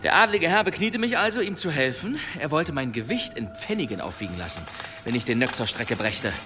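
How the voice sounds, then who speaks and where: An actor playing a boastful monster hunter telling tales in an archaic, theatrical manner in an audio drama. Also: male voice, on the high side, very animated, music in the background. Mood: boastful, storytelling. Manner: theatrical, dramatic pauses, savouring his tale. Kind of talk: German audio drama storytelling, archaic wording.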